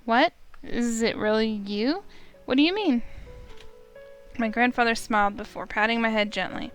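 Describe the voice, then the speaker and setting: A woman's voice: a little high, normal speed, faint music behind. A woman narrating a visual novel in first person, emotive and urgent.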